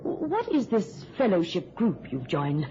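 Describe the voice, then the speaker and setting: A woman's voice: fairly low pitch, big pitch swings, a little slow, mellow. An actress playing a watchful, suspicious churchgoing woman in an old-time radio drama, probing and insistent. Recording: noisy, muffled old radio drama recording.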